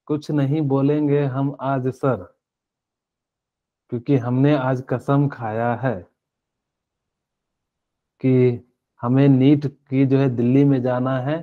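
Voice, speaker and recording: A man's voice: mid-range voice, dull audio. A male chemistry teacher giving a live online class, explaining step by step with many fillers and asking students questions.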